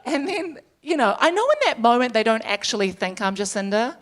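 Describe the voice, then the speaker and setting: A woman's voice: fairly high pitch, sing-song, fairly bright tone. Chatty New Zealand woman doing stand-up. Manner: chatty, self-deprecating, quick asides with laughter. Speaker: stand-up comedian. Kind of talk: stand-up comedy set. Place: comedy stage before a live audience.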